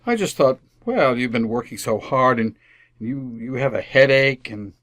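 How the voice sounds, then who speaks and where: Man as animated, flustered radio dad. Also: medium pitch, normal speed, no room sound, clean studio sound, close to an everyday voice. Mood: defensive. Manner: earnest, defensive. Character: stubborn, flustered, well-meaning suburban husband and father. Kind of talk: old-time radio family comedy acting.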